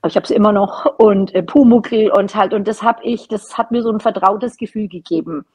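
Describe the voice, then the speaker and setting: A middle-aged woman talks casually as a podcast guest over a video call. Her voice is mid-pitched with a husky, slightly breathy grain. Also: normal speed, darkish tone. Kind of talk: casual interview chat. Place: at a table in a room at home, indoors.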